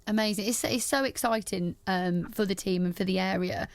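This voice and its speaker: A woman's voice: medium pitch, moderate pace, no room sound. A woman presenting a local radio sports interview, encouraging and enthusiastic as she talks with her guests.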